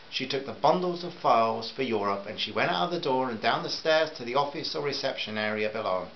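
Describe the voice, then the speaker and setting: A man's voice: mid-range voice, dull audio, slight background noise. A man reading his own novel aloud as a serialized audiobook, in a plain storytelling manner. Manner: plain storytelling, warm sign-off to listeners.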